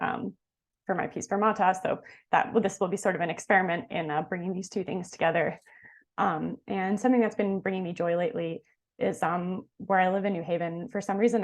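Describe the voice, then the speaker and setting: A woman composer from New York City introducing herself casually on a video call, with frequent "um"s. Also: on the low side. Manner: relaxed, frequent "um"s.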